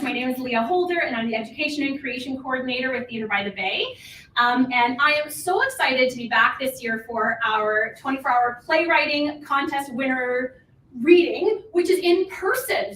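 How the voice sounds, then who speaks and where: A woman's voice: moderate pace, bright, forceful tone. A woman giving an enthusiastic, encouraging introductory speech as a theatre event presenter. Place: indoor venue with in-person audience. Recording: echoey room, distant mic, faint rumbling noise.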